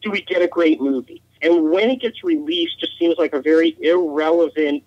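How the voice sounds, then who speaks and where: A man's voice: fairly high pitch, normal speed, dry recording. Opinionated man on a dull lo-fi line. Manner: opinionated, emphatic arguing. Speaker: podcast guest. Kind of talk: casual debate, conversational.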